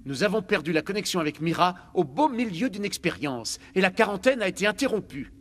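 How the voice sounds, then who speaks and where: Higher-voiced, guarded male scientist. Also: sing-song, a little fast, a bit bright, slight background noise. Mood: distracted, guarded. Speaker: doctor, scientist. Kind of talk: video game dialogue.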